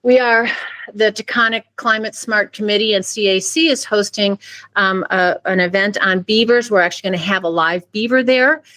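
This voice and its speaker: A woman's voice: mid-range voice, moderate pace, bright, forceful tone, low-quality recording. A woman giving an upbeat, casual committee update with some fillers. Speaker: climate committee member. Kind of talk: meeting update.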